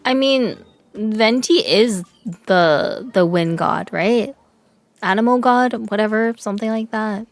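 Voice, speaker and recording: A young woman streaming a game, chatting casually with her chat, swearing and yelling in excitement now and then. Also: medium pitch, slow. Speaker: VTuber streamer. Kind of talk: casual gaming livestream chat.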